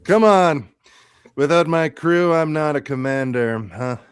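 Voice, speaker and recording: Man playing a grandiose, clear-voiced TV space commander. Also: fairly high pitch, measured pace, dry recording, clean studio sound, lightly acted. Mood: showy. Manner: dramatic, grandiose. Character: vain theatrical sci-fi TV actor playing a starship commander. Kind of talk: live script read, radio theatre.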